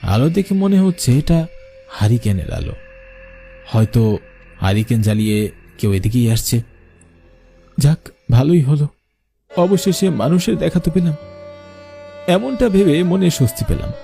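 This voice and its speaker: A man's voice: a little high, animated, unhurried, gravelly, pauses often, mellow, faint music behind. A man narrating a scripted cartoon horror story in the first person with suspenseful, dramatic delivery.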